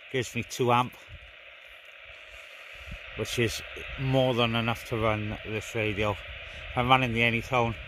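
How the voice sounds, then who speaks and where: A man giving casual, hands-on vlog commentary outdoors in a garden, speaking informally and hesitantly as he busies himself with gear. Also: medium pitch. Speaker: amateur CB radio hobbyist filming a vlog. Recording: noisy outdoor phone-camera recording.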